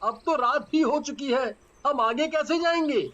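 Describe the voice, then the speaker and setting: Man voicing a very high-pitched, bright young man. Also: moderate pace, muffled recording. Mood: worried. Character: excitable young male friends on a night journey. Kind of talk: cartoon story dialogue.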